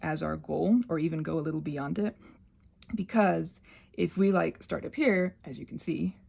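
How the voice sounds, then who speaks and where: Gravelly, husky woman rambling through crafts. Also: low-pitched, steady tone, moderate pace, darkish tone. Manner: hesitant, meandering, self-deprecating asides. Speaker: craft channel host. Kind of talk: casual rambling craft demonstration.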